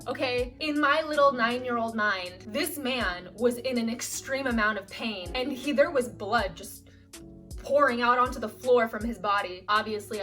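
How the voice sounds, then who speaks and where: A young woman chats through a storytime with an easy, animated flow, peppering her sentences with "like." Her voice sits a little high. She is recorded from a distance, with faint music under her. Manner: chatty, animated, lots of "like". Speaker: actress and vlogger. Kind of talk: casual storytime chat.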